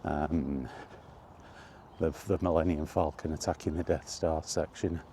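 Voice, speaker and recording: A middle-aged man with a low, mellow voice rambles casually to his viewers. He takes his time, halting and thinking aloud in short phrases, with faint outdoor noise behind him. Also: fairly even intonation. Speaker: video creator and host. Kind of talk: casual reminiscing monologue about retro games. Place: outdoors while walking.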